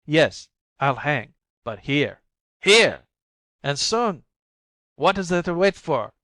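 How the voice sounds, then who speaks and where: Frantic mother at breaking point, put on by a male reader, high and shrill, dry booth. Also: lively intonation, a little slow, fairly bright tone, no echo. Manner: frantic, screaming, bitter. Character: desperate, worn-out young mother at breaking point. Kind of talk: audiobook reading.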